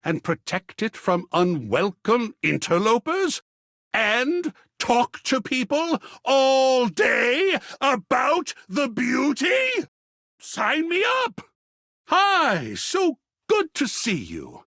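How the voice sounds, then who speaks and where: A man playing a grandiose, excitable living embodiment of art in a video game, husky and florid, with melodramatic theatrical delivery. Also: very high voice, very slow, no room sound, studio quality, heavily acted. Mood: indignant, then thrilled. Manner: florid, melodramatic, swooping between hushed awe and exclamation.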